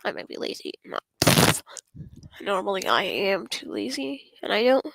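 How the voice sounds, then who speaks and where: A woman streaming a game, her voice hoarse and going raspy, rambling and often apologizing. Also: mid-range voice, wildly swooping pitch, normal speed, heavy background noise. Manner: rambling, apologetic, self-interrupting. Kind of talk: casual gameplay stream chat.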